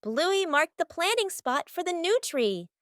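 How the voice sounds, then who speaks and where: Very high-pitched woman narrating a children's story. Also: sing-song, a little slow, a bit bright, no echo, clean studio sound. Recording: music behind the voice.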